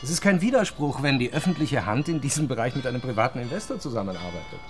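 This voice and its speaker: An actor playing a smooth-talking, unruffled water company chief in a naturalistic radio drama. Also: male voice, mid-range voice, lively intonation, fast, soft background music, natural voice. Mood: coolly persuasive. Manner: corporate jargon, tag questions, unruffled. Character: smooth-talking, self-assured male water company CEO.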